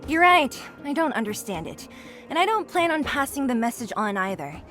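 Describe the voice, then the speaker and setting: A young female fighter from an underground turf, pitched high and swinging boldly up and down. She is blunt, scornful and quick to taunt, a video game brawler with music playing behind her. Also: sing-song, a bit bright. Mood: curt.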